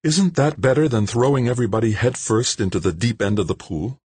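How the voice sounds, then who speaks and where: A man's voice: mid-range voice, a little fast, a little mellow, slightly rough, dry recording, voice pushed up, close to an everyday voice. A man voicing a worried grown woman who pleads earnestly, in an audiobook. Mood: pleading. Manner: anxious, pleading.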